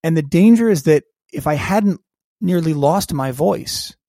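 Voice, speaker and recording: A man telling a personal story as a podcast guest, lively and acting out the voices of people in it. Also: fairly high pitch, unhurried, no echo, studio quality. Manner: animated storyteller who acts out quoted dialogue. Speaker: guest, keynote speaker and coach.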